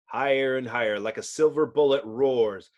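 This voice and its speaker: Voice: mid-range voice, moderate pace, a little mellow, lo-fi sound. A narrator giving a dramatic, theatrical scripted reading of a comic-book adventure. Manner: theatrical, storybook-like.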